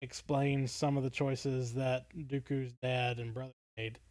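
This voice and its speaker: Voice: mid-range voice, little pitch movement, measured pace, a little mellow, dry recording. A podcast co-host with a mid-range voice of unclear gender, in casual discussion. The delivery is tentative, as if thinking aloud, and the sound is very dry.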